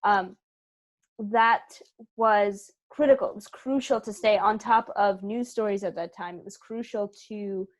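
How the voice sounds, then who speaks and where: A woman's voice: mid-range voice, fairly even intonation, a little slow. A woman giving casual interview answers as a guest, thoughtful and emphatic as she clarifies point by point. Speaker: interview guest, theatre company member and writer.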